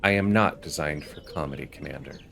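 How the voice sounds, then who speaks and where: A man voicing a formal, humorless AI assistant from a science fiction game in a deadpan manner. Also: a bass voice, fairly quick, vocal fry, a little hiss or hum, heavily acted. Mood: flat, deadpan.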